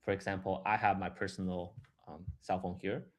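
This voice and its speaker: A man giving a live, explanatory software demo in a halting way, repeating words and restarting as he goes. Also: deep voice, flat delivery. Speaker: software product presenter.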